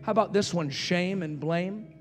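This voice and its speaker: Man, high-pitched voice, charismatic preacher, altar call, insistent repeated exhortation, guitar worship music behind. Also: normal speed. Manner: exhorting, repetitive urging.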